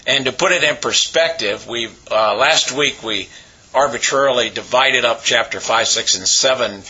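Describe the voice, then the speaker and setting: Hoarse, raspy-voiced man preaching. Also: medium pitch, bright, forceful tone, muffled recording. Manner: halting, with many pauses and uh fillers. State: hoarse from a cold. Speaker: pastor. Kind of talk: sermon.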